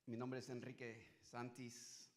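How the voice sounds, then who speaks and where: A middle-aged pastor from Guatemala preaching as a guest, hesitant with restarts at first, then building to emphatic preaching. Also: male voice, medium pitch, completely flat delivery, takes it slowly. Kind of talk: sermon.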